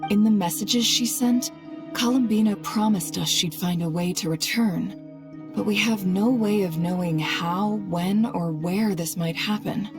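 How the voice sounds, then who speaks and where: A woman voicing a composed, analytical female adventurer in a game cutscene, with a deliberate, questioning delivery. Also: medium pitch, over music, natural voice. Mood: pondering, serious. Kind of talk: animated game cutscene voice acting.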